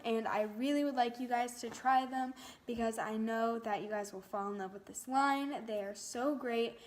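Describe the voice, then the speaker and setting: Young woman vlogger, soft higher-pitched voice. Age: teens to young adult. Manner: gushing, peppered with "like". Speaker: beauty vlogger. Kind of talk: casual chatty vlog to viewers.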